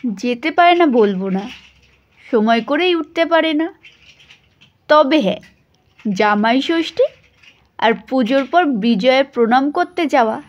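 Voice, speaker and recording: A woman's voice: a little high, dull audio. A woman reading a first-person story as scripted audio narration.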